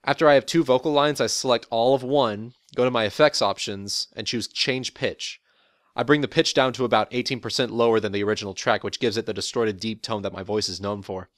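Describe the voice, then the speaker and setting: A young man with a deep voice, a voice actor giving a prepared, relaxed, matter-of-fact account of his role. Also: a little fast, no room sound, studio quality. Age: young adult. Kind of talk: prepared interview monologue. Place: college dorm room.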